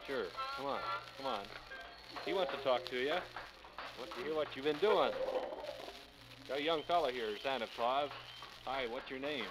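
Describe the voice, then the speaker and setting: A man working as a live radio announcer in the 1950s, coaxing children in a halting, folksy way and repeating their answers. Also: mid-range voice, loud music behind the voice, poor audio. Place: toy store live broadcast.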